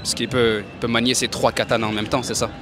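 Fast cheeky young male street interviewer. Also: medium pitch, level tone, noisy background. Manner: cheeky, provocative. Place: outdoor steps at a carnival. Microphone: handheld mic.

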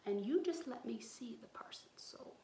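A woman's voice: a little high, animated, normal speed, warm tone, natural voice. A woman voicing a sly, wheedling male swamp devil from a storybook, in a sneering, hissed half-whisper. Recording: roomy echo, some background noise.

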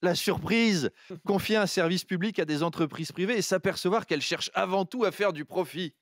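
A man in his thirties reading a mocking satirical comedy column in a studio, talking quickly with a playful, teasing delivery on a close desk mic. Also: high-pitched, no echo. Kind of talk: satirical comedy chronicle read on air. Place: TV-radio studio. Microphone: desk microphone on a boom, close.

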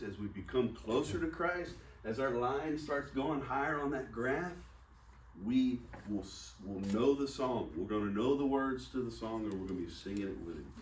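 A man preaching a sermon, teaching in a folksy, joking way and laughing often. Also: mid-range voice. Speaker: pastor. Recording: roomy church hall, distant mic, faint hiss.

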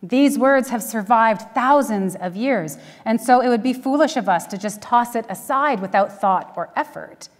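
Middle-aged female minister, wry conversational sermon, medium pitch, big echoing church. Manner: wry, dryly humorous, with deliberate pauses. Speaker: minister preaching. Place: church sanctuary at a pulpit. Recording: echoey church hall, long reverb.

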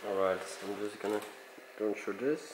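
A young man trades short, casual remarks while exploring a dark, abandoned building. His voice is lowish, and steady background noise runs under the camera audio. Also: fairly quick, poor audio. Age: young adult. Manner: brief remarks, loud startled cries of disgust. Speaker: exploring companion.

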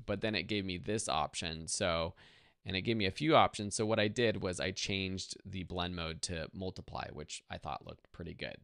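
A man in his thirties giving a casual, easygoing step-by-step software tutorial in a conversational way. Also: deep voice, smooth, no echo, studio quality.

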